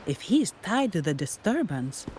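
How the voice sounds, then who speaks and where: An actress voicing a composed, strategic young noblewoman in a video game, speaking in a calm, measured, commanding way. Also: lowish voice, a little hiss or hum. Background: game music.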